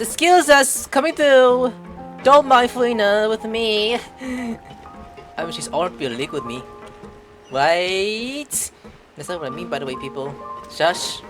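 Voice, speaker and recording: A woman hosting a gaming video, giving playful, chatty commentary and reading dialogue aloud. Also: fairly low pitch. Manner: playful, chatty asides to the game. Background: game music.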